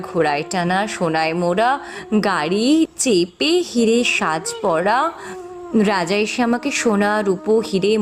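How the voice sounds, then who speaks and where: A woman's voice: on the low side, voice pushed down. A woman voicing a vain, haughty eighteen-year-old village girl in a cartoon, pert and dismissive. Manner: dismissive, pert, self-admiring. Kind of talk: fairy-tale cartoon dialogue.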